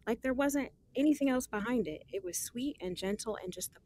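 A woman's voice: fairly high pitch, expressive, brisk, darkish tone, a little hiss or hum. An actress playing a guarded but smitten young woman, chatting in sassy, slangy style with her best friend in an audio drama. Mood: dreamy, smitten. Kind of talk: casual conversational audio drama.

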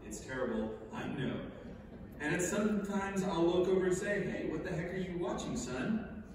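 Middle-aged man, oratorical podium speaker, slightly high voice, recorded far off in a theater. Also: normal speed. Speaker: stage reader. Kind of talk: prepared speech read from a podium. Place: large indoor theater stage. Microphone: far camera. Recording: very echoey theater hall, distant mic, hiss.

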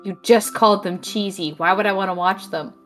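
A woman's voice: mid-range voice, fairly even intonation, brisk, fairly bright tone, faint music behind, lightly acted. A woman playing a dry, affectionately sarcastic young homebody, teasing her best friend in an audio drama. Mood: dry, fond. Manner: wry, teasing.

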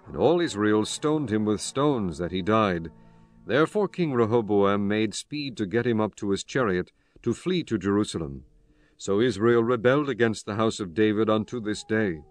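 A man narrating a dramatized scripture reading in a solemn, formal storytelling manner. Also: lowish voice. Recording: music bed behind the voice.

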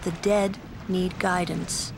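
A woman playing a composed, stern, world-weary young mage in a video game, speaking in a measured, solemn, slightly condescending way. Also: lowish voice, flat delivery, creaky, background noise. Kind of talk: video game cutscene acting.

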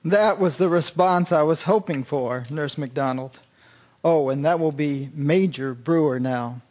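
A man's voice: fairly high pitch, a little slow, darkish tone, close to an everyday voice. A man playing a courteous army surgeon and officer in a radio drama, talking formally. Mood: courteous, resolute.

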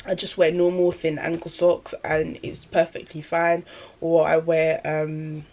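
A woman, chatting casually in a product review vlog, a little rambling and full of fillers, her voice slightly deepened by a cold. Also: level tone, unhurried, a little mellow. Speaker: vlogger. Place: small bedroom indoors.

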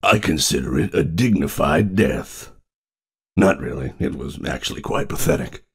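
A man voicing a smug animatronic in a game who speaks in proverbs, with a creaky voice. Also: rumbling low, lively intonation, moderate pace, exaggerated voice.

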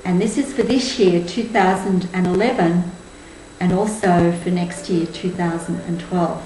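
A woman in her sixties giving a measured, earnest spiritual talk to camera. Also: fairly low pitch, steady tone, mellow. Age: 60s. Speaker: spiritual group tour leader and presenter. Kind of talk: spoken presentation to camera. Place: indoors, small room with cloth backdrop. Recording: roomy echo, faint background noise.

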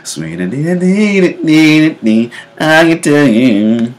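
A man in his thirties with a low bass voice, casually reacting to memes at home, laughing often and breaking into off-key singing. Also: lively intonation, drawn-out words. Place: home kitchen, indoors. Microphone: webcam at close range.

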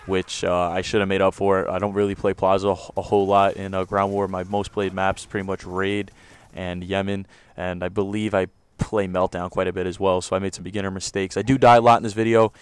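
A young man with a deep voice giving loose, rambling commentary over his own gameplay, recorded on a close home mic. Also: level tone, a little fast, clean tone. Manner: rambling, self-correcting, loose slang. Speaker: gaming commentator.

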